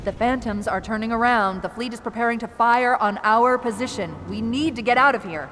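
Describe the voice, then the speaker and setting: A woman voicing a confident AI companion in a game, giving crisp, urgent tactical briefings. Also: fairly high pitch, a little fast, noisy background, close to an everyday voice. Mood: urgent, alert. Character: sharp, confident female AI companion, briefing a soldier. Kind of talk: game cutscene voice acting. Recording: loud game score underneath. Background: loud music.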